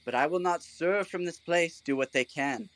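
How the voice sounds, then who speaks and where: An actor playing a pompous, oblivious and stubborn weaver who fancies himself an actor, in a comic Shakespearean audio play. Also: male voice, fairly high pitch, normal speed, a bit bright, no echo, close to an everyday voice, rough recording. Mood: indignant. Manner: hammy, declamatory. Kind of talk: theatrical comic Shakespearean audio drama acting.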